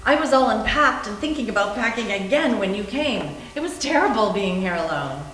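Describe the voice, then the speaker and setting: A woman's voice: mid-range voice, animated, brisk, fairly bright tone, slight background noise, natural voice. A woman playing a shy, anxious, lonely female houseguest, earnest and nervous, in a staged reading. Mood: nervous, friendly. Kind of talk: staged readers theater. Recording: distant mic in echoing room.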